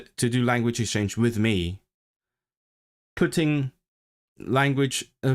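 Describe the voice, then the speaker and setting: Man, Cantonese first language, mid-pitched voice, rambling casual streamer chat. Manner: rambling, self-deprecating, many restarts and fillers.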